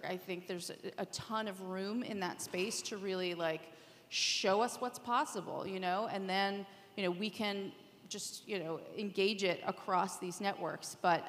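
A woman's voice: mid-range voice, a little hiss or hum. A woman speaking off the cuff on a conference panel, explaining things in an informal way. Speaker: panelist, media executive. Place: conference hall, live panel. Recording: echoey hall with long reverb.

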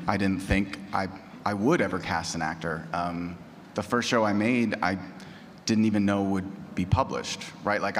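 Very deep-voiced man in a hall. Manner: candid, self-deprecating. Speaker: panelist, audio drama writer and actor. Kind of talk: candid unscripted panel talk. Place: large panel room at a convention. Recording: echoey hall, noisy, roomy pickup.

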